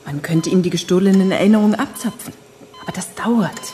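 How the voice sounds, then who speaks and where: Woman playing a low-pitched practical female accomplice. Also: fairly quick, mellow, natural voice. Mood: cool, practical. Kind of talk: radio play dialogue.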